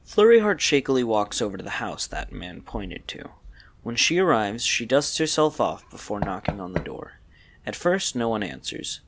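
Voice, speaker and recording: A man's voice: mid-range voice, very animated, moderate pace, creaky, slight background noise. A man narrating a scripted audio story.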